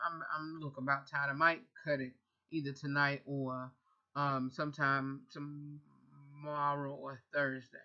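Thirties woman vlogger, chatty and self-correcting, sharp bright voice, dull webcam sound. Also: low-pitched. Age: 30s. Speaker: vlogger and radio host. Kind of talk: casual Q&A vlog. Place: bedroom, indoors. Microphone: webcam at close range.

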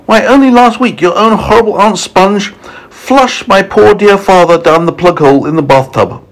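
A man's voice: high voice, normal speed, voice pushed up, low-quality recording. A man voicing a mournful, wronged female spider from a storybook in a plaintive way. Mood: wounded, indignant. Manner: plaintive, sorrowful.

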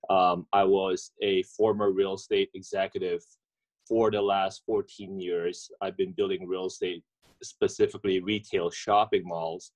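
A man, speaking as a guest over a video call, halting and full of fillers and restarts. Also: sits low, flat delivery, a little mellow, poor audio. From Indonesia. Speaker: guest, coffee entrepreneur. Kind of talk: conversational interview answers. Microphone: webcam or laptop mic on video call.

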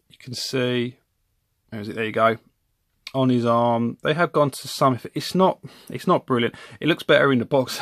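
A man's voice: mid-range voice, creaky, a bit bright, no room sound, clean studio sound. A man casually reviewing a collectible figure at home, talking from behind the camera in a rambling, self-correcting way. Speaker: toy reviewer. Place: home room with toy shelves.

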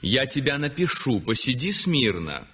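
A man's voice: mid-range voice, big pitch swings, normal speed, pushed and bright, a little hiss or hum. An actor playing a self-satisfied, exuberant and condescending landscape painter, theatrical and savouring his phrases, in a 1960s radio drama style. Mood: eager, bossy. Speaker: actor narrating in first person. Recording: dull vintage 1960s radio recording.

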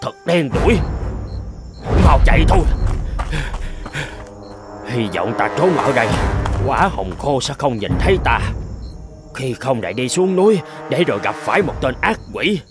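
A man voicing a boastful but cowardly tiger king in an animated folk tale, theatrical and easily panicked. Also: mid-range voice, a little slow, long pauses, music in the background. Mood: puzzled, anxious.

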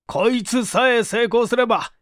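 A man's voice: very high-pitched, moderate pace, a bit bright, no room sound, clean studio sound, pitched above the performer's own voice. A man voicing a middle-aged, authoritative company president in a story reading, with a composed manner. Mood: explaining.

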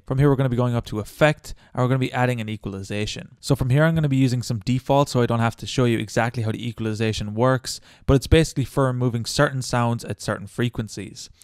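A man narrating a casual step-by-step software tutorial in a chatty, informal way on a good close microphone. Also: medium pitch, fast talker, hardly any pauses, clean tone, dry recording. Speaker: tutorial host. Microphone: good close microphone, voice-over.

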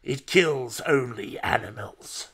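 An imperious old woman, voiced by a male narrator in a dramatic audiobook. The delivery is slow and deliberate, with taunting menace and cold command. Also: mid-range voice, lively intonation, gravelly, a bit bright, exaggerated voice. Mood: mocking, threatening.

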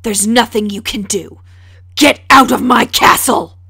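A woman's voice: a little high, unhurried, sharp tone, no echo, studio quality, heavily acted. A woman playing a huge, fierce, angry female beast in an audio drama, with a growling, commanding, menacing delivery. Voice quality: growling, husky.

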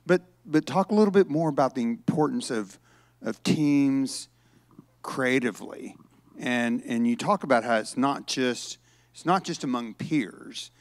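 A man's voice: mid-range voice, a little slow, no room sound. A man interviewing a guest on a conference stage, asking questions with a few restarts, speaking into a handheld mic. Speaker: interviewer, event co-founder.

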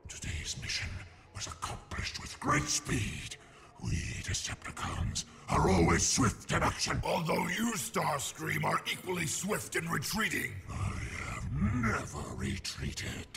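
A man playing a boastful, scheming evil alien robot in a video game, with a gravelly, theatrical, gloating delivery. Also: a little high, huge pitch swings, measured pace, a little hiss or hum, exaggerated voice. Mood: scheming, proud. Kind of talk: video game villain acting. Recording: loud music behind.

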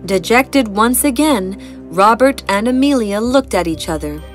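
A woman's voice: on the high side, expressive, a little slow. A woman reading a scripted fairy tale as a storyteller. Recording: music bed behind the narration.

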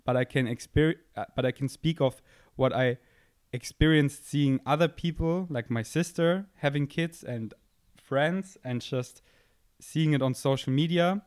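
A man's voice: fairly high pitch, unhurried, a little mellow, smooth, no room sound. Young guy rambling on his podcast, jokey and self-mocking, close handheld mic, dry room sound. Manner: playful, self-mocking, thinks aloud. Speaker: podcast host. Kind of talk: casual rambling monologue. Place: indoor room, seated on a couch. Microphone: handheld microphone held close.

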